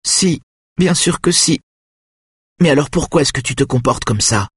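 Man voicing a domineering, husky, higher-pitched cruel matriarch. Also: expressive, moderate pace, no room sound, studio quality. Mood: furious, accusing. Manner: stern, accusing, commanding. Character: cruel, domineering, exhausted matriarch obsessed with family obedience. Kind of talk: audiobook character acting.

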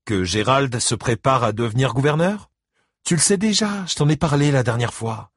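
A man's voice: mid-range voice, expressive, moderate pace, no echo, clean studio sound, voice pushed up, lightly acted. A man voicing a concerned, exasperated ex-wife and grandmother, speaking emotionally in an audiobook novel. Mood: sardonic, lively. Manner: exclaiming, emotional.

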